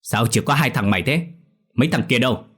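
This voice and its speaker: A man's voice: lowish voice, lively intonation, brisk. A man voicing a cruel, hot-tempered old landlord, snarling and bellowing threats. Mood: raging. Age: older.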